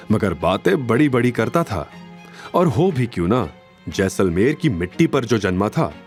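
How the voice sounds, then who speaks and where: A man's voice: fairly low pitch, a little mellow. A man narrating a scripted audio drama in a dramatic storytelling style.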